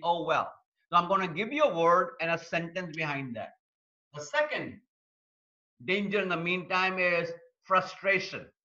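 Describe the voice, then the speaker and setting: A man preaches to an online congregation in a high, bright voice. He stacks repeated phrases and addresses viewers directly as he builds each point. The stream audio sounds dull and lo-fi. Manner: repetitive, emphatic preaching cadence. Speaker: pastor. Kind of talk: online sermon. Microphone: streaming call mic.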